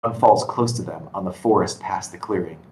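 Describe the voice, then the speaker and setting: A man's voice: deep voice, a little fast, darkish tone, rough recording. A man reading scripted stage directions as narrator at a screenplay table read. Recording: constant background noise.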